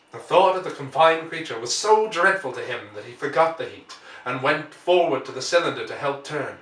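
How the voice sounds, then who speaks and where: A man reading a classic story aloud as scripted narration, with measured, deliberate phrasing. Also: on the high side, sharp tone. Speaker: audiobook reader. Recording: roomy, distant microphone.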